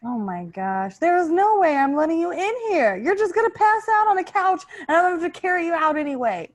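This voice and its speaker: A woman playing a weary, exasperated bouncer at heaven's gate in improvised comedy, curt and deadpan. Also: very high-pitched, fairly quick, pitched above the performer's own voice.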